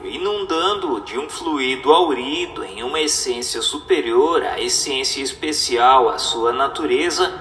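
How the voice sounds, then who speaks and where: A man giving a scripted, solemn reading in a formal, didactic and deliberate manner, as a narrator. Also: mid-range voice, fairly bright tone. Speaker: narrator reading a spiritist text. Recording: echoey reverberant voice with music. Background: music.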